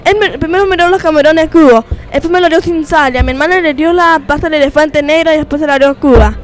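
Woman playing a high-voiced, haughty princess. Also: fast talker, natural voice. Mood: indignant. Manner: indignant, demanding. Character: haughty, complaining royal princess dining out. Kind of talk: improvised comedy sketch. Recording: music and crowd noise behind.

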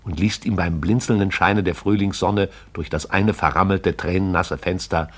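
A deep male storyteller for a radio play. He narrates in a measured, poetic way, lingering over lyrical description. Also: fairly even intonation.

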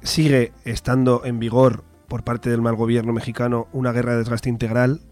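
A man with a Spanish accent from Spain talks as a radio host. His pitch is middling and his delivery is informal and explanatory, feeling its way through points. Music plays faintly behind him. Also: little pitch movement, normal speed, a little mellow.

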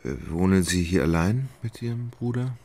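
An actor playing a dreamy, hesitant gentleman in love in a radio play, with a husky voice. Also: low voice, normal speed. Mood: polite, hesitant. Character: dreamy, hesitant, lovestruck gentleman who puts things off.

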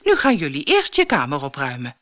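A woman's voice: sits low, wildly swooping pitch, a little fast, fairly bright tone, heavily acted. Woman playing a strict, firm starfish mother. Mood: scolding. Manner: firm, scolding. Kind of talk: children's storybook character voice.

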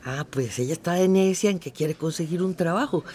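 A woman's voice: fairly low pitch, brisk, darkish tone, lightly acted. An actress playing a worried, devout middle-aged mother in a radio drama. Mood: hesitant, worried.

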